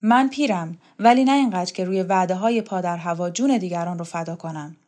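A weary old male rebel leader in a literary audiobook, acted by a woman narrator. He speaks gravely and cynically, a bitter sceptic who has little faith in others. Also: lowish voice, a little mellow, lightly acted.

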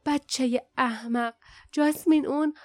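Storybook adventurer man, read by a woman: blunt, protective, grumbling, scolding, clearly articulated. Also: sits high, a little mellow, no echo, clean studio sound, natural voice. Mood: alarmed scolding.

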